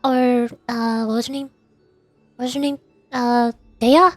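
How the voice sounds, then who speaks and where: High-voiced woman streamer musing over music. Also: fairly even intonation, unhurried, no room sound. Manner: musing, often questioning aloud. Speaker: VTuber game streamer. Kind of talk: casual livestream chat and reading game dialogue aloud.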